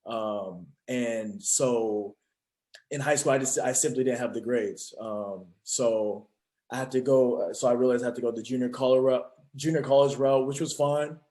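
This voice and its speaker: Podcast guest: young Californian man, mid-range voice, earnest and steady. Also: mid-range voice, moderate pace. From Fresno, California. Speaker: guest, trainer. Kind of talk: casual interview.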